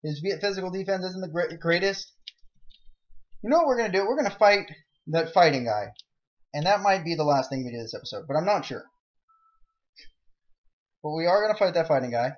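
A young man talks through his game in a loose, rambling way, cracking jokes and breaking into excited outbursts. His voice sits a little high for a man. He is recorded on a dull, lo-fi home mic. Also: fairly quick, pauses often. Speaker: let's play gamer. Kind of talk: casual game commentary.

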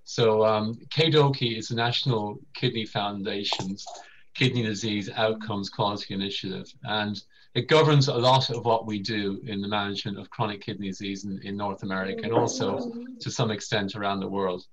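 A man's voice: lowish voice, steady tone, normal speed, a crowd in the background. A middle-aged Irish man with an Irish accent giving a semi-formal medical lecture over a video call. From Ireland. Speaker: vascular surgeon giving a lecture. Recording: dull lo-fi video call audio.

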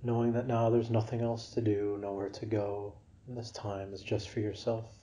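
A man guiding a yoga nidra relaxation for sleep in a gentle, unhurried, soothing manner. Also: lowish voice, little pitch movement. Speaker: meditation guide.

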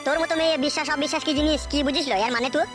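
Man voicing a fast, hot-tempered cartoon loudmouth. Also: extremely high pitch, steady tone. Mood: furious. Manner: rapid crude ranting, abusive scolding. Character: foul-mouthed hot-tempered male cartoon character, brash and scolding. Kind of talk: adult comedy cartoon voice acting. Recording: very loud music under the voice.

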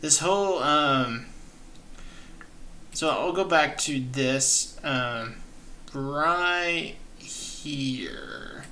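A young adult man hosting a gaming reaction, talking casually and enthusiastically in halting phrases, close to the mic. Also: on the high side, sharp tone, a little hiss or hum. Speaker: gaming channel host. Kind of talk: reaction commentary. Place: small indoor streaming room. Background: trailer music at times.

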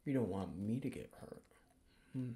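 A young man playing quietly sparring tennis rivals in a hushed, understated, teasing ASMR reenactment. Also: lowish voice, animated, moderate pace. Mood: hushed suspicion. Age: young adult. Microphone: desk microphone, close. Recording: clearly noisy close-mic recording, crinkling.

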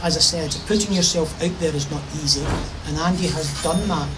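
A man's voice: fairly high pitch, brisk, background noise. A middle-aged man talking to camera in an enthusiastic, casual way from a covered pavilion in a park. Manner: enthusiastic, gesturing with his hands while he talks. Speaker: vlogger.

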